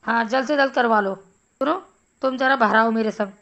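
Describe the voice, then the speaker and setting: Woman playing male cartoon doctor, highish, creaky, measured, concerned, reassuring. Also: fairly bright tone, highs cut off. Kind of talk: animated Hindi story dialogue. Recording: music behind the voice.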